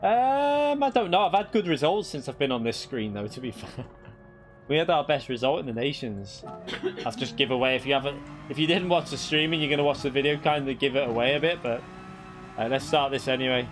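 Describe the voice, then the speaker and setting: A man's voice: mid-range voice, a little fast, music in the background. A young man with a Northwest English accent, chatting casually with his viewers during a sim racing stream and laughing easily. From England. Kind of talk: casual live-stream chat.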